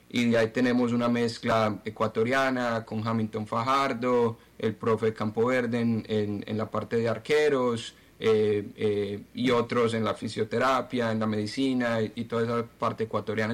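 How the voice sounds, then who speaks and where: A Colombian man answering interview questions as a football club president, speaking haltingly and repeating words as he searches for them. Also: medium pitch, steady tone.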